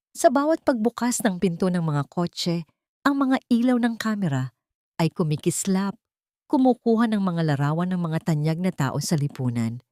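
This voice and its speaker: A woman's voice: on the low side, darkish tone, dry recording. A woman narrator tells a dramatic scripted story. The recording is dry and close, with no room around her.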